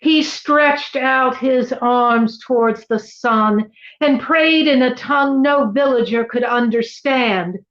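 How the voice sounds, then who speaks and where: A woman's voice: a little high, takes it slowly, a bit bright. A woman giving a dramatic, theatrical reading of an eerie literary tale, drawing out her words for effect. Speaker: reader at a live library event of dramatic readings. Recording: echoey, dull-sounding room recording.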